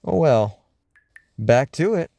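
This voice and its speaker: Male host, slightly high pitch, mellow tone, very lively intonation, wry theatrical musing, scripted radio-show narration. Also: takes it slowly, creaky, no room sound. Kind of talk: scripted radio-show style narration, reading listener mail.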